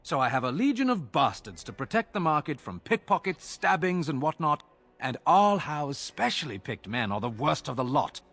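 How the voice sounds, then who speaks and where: An actor playing an exasperated, sarcastic city guard officer in a fantasy video game, grumbling gruffly in a husky voice. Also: male voice, a little high, sing-song, normal speed, fairly bright tone, exaggerated voice.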